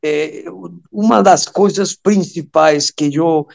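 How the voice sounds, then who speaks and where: A Venezuelan man with a Spanish accent giving interview answers, haltingly searching for words in his second language. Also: a little high, vocal fry. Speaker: interview guest, amateur radio operator.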